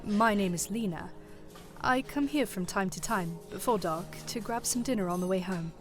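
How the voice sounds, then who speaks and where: A woman playing a calm, kindly younger businesswoman with a measured manner in an audio horror drama. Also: medium pitch, over music, natural voice. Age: young adult.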